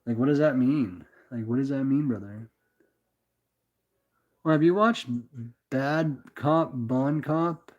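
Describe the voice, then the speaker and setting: A man's voice: mid-range voice, takes it slowly, pauses often, a little mellow. A young man with a Canadian accent chatting casually on a livestream, rambling and laid-back as he answers viewers and calls them brother. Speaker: livestream host reading chat. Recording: slightly dull lo-fi sound.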